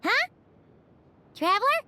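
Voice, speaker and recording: A woman's voice: very high voice, big pitch swings, slow pace, a little hiss or hum, heavily acted. A woman voicing a small childlike fairy companion in a video game, curious, eager and chatty. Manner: chatty, inquisitive, simply worded. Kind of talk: video game character dialogue. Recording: loud music behind the voice.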